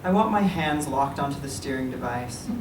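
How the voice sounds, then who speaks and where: A man reading his own writing aloud at a library event, in a measured, even delivery. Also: medium pitch. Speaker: writer reading his work. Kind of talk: literary reading. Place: library meeting room. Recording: echoey room, distant mic, some noise.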